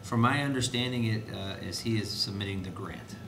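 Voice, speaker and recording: A man speaking as an administrator at a school board meeting, explaining in a halting way with restarts. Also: vocal fry. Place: indoor board meeting room. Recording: noisy, echoey meeting room with low rumble.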